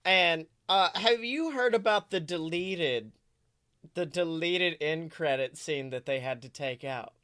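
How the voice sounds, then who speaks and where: A man's voice: fairly high pitch, unhurried, bright, forceful tone, smooth, no echo, clean studio sound. A man co-hosting a podcast in casual comedic chat, with jokey, crude humor. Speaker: podcast co-host.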